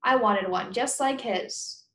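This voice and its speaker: A woman reading aloud as an easygoing, modest father who talks casually and teases his son gently. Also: a little high, takes it slowly, reverberant. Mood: modest, teasing. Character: easygoing, modest, teasing dad of a schoolboy. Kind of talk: children's book read-aloud.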